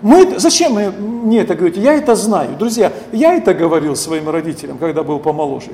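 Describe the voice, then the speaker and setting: A middle-aged man preaching a sermon, reading scripture slowly and then explaining it, often turning to his listeners as friends and asking them questions. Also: high-pitched, expressive, dark tone, a little hiss or hum. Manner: deliberate, keeps addressing listeners as friends, asks rhetorical questions.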